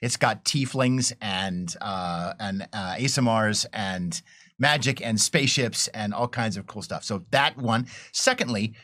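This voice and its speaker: A man's voice: on the low side, unhurried, pushed and bright. Enthusiastic man chatting on a panel. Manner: enthusiastic, informal. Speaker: game designer panelist. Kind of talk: casual panel chat.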